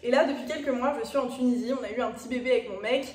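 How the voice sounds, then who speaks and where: Chatty Parisian young woman, high voice. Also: racing, a little mellow, roomy sound, recorded from a distance. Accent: Parisian French. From France. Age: 20s. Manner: chatty, direct address to viewers, frequent fillers. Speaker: YouTuber. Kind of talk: casual personal vlog talk.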